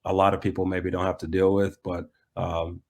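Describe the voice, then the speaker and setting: An American man talking reflectively in a conversational interview, often pausing mid-thought to restate himself. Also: low-pitched, little pitch movement, creaky, darkish tone. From United States. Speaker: soccer coach being interviewed.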